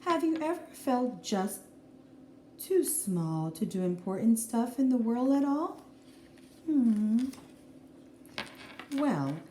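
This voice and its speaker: A woman teacher reading a children's picture book aloud in an expressive, deliberate way, with explanatory asides to young listeners. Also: mid-range voice. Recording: roomy echo, faint background noise.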